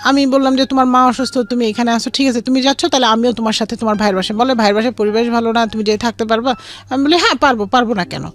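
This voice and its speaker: A woman's voice: on the high side, very fast. A woman with a Bangladeshi accent tells a personal story in an interview, acting out both sides of remembered conversations. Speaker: guest telling life story. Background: background music.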